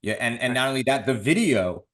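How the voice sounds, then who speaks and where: A man hosting an interview in a conversational way. His voice sits at an ordinary middle pitch. He puts his questions earnestly and stays deferential toward his guest. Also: lively intonation, a little fast, fairly bright tone. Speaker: podcast host.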